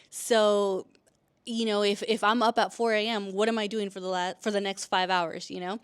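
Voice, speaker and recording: A woman in her thirties, from El Salvador and raised in California, talks casually straight to the camera. Her voice is smooth and clear, at an ordinary pitch for a woman. She rambles in a friendly way, full of fillers and little restarts. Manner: chatty, frequent fillers and restarts. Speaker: vlogger. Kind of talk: casual talking-to-camera vlog.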